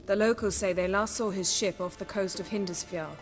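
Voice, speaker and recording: An actress playing a proud, dryly teasing sorceress in a fantasy video game, poised and imperious with formal phrasing. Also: female voice, medium pitch, steady tone, fairly quick, soft background music, close to an everyday voice. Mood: earnest, determined. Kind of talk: video game cutscene dialogue.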